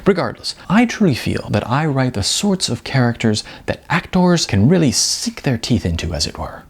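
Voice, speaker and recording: A man's voice: mid-range voice, big pitch swings, normal speed, gravelly, slightly rough, full character voice. A man voicing a shy but pretentious amateur writer-director in an audiobook, with stilted, affected, pompous phrasing. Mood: pompous, intense.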